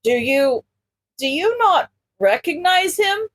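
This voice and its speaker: A woman's voice: high voice, big pitch swings, takes it slowly, fairly bright tone, voice pushed up, exaggerated voice. A woman voicing an amused, teasing man who leads a team in a story. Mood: barely contained amusement.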